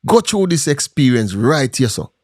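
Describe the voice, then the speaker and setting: A man's voice: mid-range voice, sing-song, moderate pace, darkish tone, no echo, studio quality. A man with a Jamaican accent giving emphatic, rhetorical commentary to the camera.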